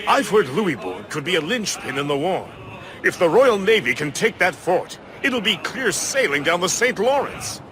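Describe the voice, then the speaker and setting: A jovial first mate for a video game. His voice is fairly high and bounces around as he chatters colourfully. Outdoor ambience is clearly audible behind him. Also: very animated, moderate pace, background noise. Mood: hearty. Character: jovial, talkative seafaring male first mate. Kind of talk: video game cutscene acting.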